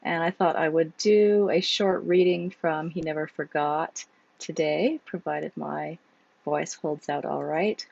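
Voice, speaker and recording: A woman with a cold who chats casually as an author and then reads from her own novel, sounding hoarse. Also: low voice, fairly even intonation, measured pace, a little mellow, dull audio. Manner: chatty, with fillers before reading. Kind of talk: casual chat, then book reading.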